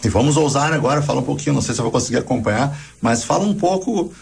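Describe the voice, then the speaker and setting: A man, a radio host speaking in a halting way and repeating words. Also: mid-range voice, expressive, gravelly, slightly rough. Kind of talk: radio interview hosting.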